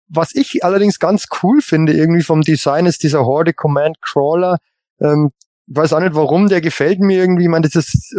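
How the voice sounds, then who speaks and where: A man chatting casually as a podcast panelist, giving his opinions in a halting way with repeated words. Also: on the high side, a little mellow, dry recording, studio quality. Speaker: podcast panelist on a toy collector show.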